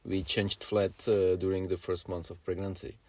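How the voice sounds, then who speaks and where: A man in his forties with a Czech accent, a native Czech speaker, co-hosting a casual podcast and pausing often to find his words. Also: sits low, little pitch movement, a little mellow. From Czech Republic. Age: 40s. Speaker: podcast co-host.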